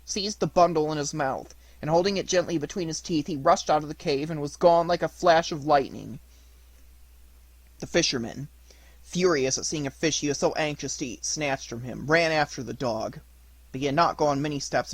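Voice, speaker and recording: A man's voice: fairly high pitch, breathy edge, no room sound. A man reading a children's story aloud as a scripted audiobook narration.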